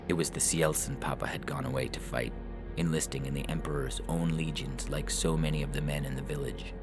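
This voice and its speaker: Very deep-voiced man narrating fiction. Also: creaky. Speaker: story narrator. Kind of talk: scripted dramatic fiction narration. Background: music.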